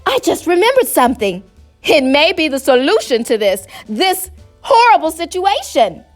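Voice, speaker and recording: Woman acting a pompous, high-pitched storybook fox gentleman. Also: sing-song, normal speed, faint music behind. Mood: pleading, distraught. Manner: pompous, wordy, indignant. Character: vain, pompous male fox, easily flustered. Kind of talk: storybook character voice.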